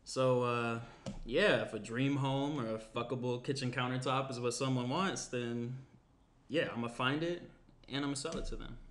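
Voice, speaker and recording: A man's voice: mid-range voice, fairly even intonation, normal speed, close to an everyday voice. A young man playing a laid-back, frank, teasing guy with casual, hesitant phrasing in a table read. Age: young adult. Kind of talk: naturalistic screenplay table read. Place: small indoor room at a table. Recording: roomy, faint background noise.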